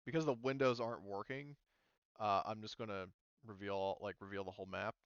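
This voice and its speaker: A man acting as game master, casually refereeing a tabletop game and thinking aloud in a halting way. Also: lowish voice, fairly even intonation, smooth, dry recording, highs cut off.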